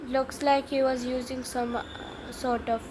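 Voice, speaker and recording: A woman's voice: high voice, flat delivery, a little slow, very noisy. A woman giving casual gameplay commentary as a game streamer. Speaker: gaming streamer. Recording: loud game music behind the voice. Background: video game music and sounds.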